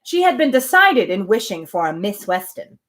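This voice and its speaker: Woman, casual read-aloud, sarcastic commentary, medium pitch. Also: sing-song, normal speed, fairly bright tone. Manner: wry, sarcastic interjections between passages. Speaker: reader and commentator. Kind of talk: reading a novel aloud with joking asides.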